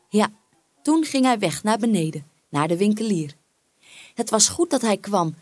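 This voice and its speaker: A woman narrates a children's fairy tale in a mid-pitched voice. She tells it expressively, shaping phrases deliberately and with drama. Faint music accompanies the reading. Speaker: storyteller.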